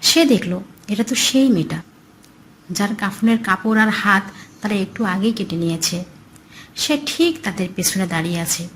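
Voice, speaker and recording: A woman narrates a scripted horror story at a middle pitch. She is the storyteller of the piece, and there is a faint bed of noise and ambience around her voice. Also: expressive, moderate pace, long pauses, low-quality recording.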